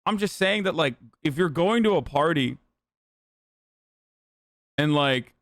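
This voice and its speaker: Profane ranting streamer, crisp dry voice. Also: male voice, on the high side, animated, takes it slowly, fairly bright tone, no echo. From Turkey. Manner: loose, profane, emphatic ranting. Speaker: streamer reacting to a video. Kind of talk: casual livestream commentary.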